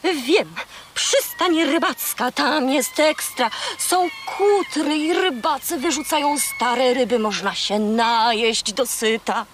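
A woman's voice: high-pitched, slow pace, a little hiss or hum. A woman voicing a chatty, scatterbrained, childlike female seagull in a children's audio drama. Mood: eager.

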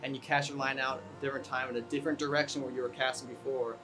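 A man's voice: mid-range voice, racing, poor audio. Young man talking casually over guitar. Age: young adult. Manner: relaxed, many fillers, gets excited. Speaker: interviewee. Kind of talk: casual interview talk. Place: outdoor balcony. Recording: guitar music underneath.

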